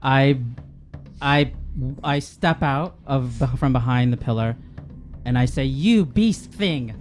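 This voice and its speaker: A man's voice: medium pitch, takes it slowly. A man playing a tabletop game, casually describing what his character feels and does. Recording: music behind the voice. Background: background music.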